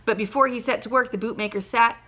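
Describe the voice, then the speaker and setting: A woman teacher reading a children's story aloud in an animated, teacherly way. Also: fairly high pitch. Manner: animated, teacherly, pauses to question listeners.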